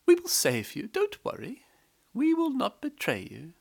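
A storyteller voicing the clever, humble wife of a poor Brahmin, speaking in a raised, soothing, flattering voice. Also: male voice, measured pace, full character voice. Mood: reassuring. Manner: flattering, soothing, high-pitched.

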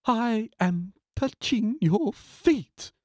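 A storyteller voicing a hurried, self-important male philosopher who shouts and then turns humble, in a read-aloud story. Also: mid-range voice, animated, no room sound, clean studio sound, pitched above the performer's own voice, close to an everyday voice. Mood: humbled awe.

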